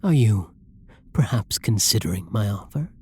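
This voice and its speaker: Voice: mid-range voice, animated, moderate pace, a little mellow, slight background noise, lightly acted. An actor voicing a flirty, possessive villain who menaces and teases a hostage in an ASMR roleplay, domineering and often dropping into whispers. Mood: flirty, smug. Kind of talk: roleplay ASMR audio drama monologue.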